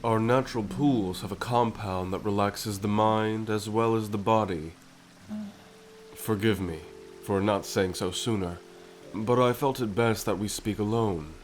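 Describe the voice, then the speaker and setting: A man voicing a courtly, composed Butterfly King in an ASMR story roleplay, speaking in a regal, formal and deliberate manner. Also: lowish voice, slight background noise, lightly acted. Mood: calm, inviting.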